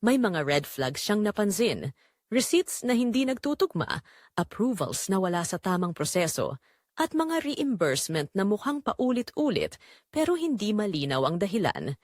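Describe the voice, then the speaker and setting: A woman with a Filipino accent narrates a scripted story dramatically, in measured, suspenseful short phrases. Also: medium pitch, very animated, no echo, studio quality.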